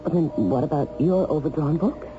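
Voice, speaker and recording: A woman's voice: sits low, fairly quick, natural voice. A woman acting in a radio drama as an anxious, loyal wife who probes and questions urgently. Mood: urgent, questioning. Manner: urgent, probing. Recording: muffled old radio recording with music.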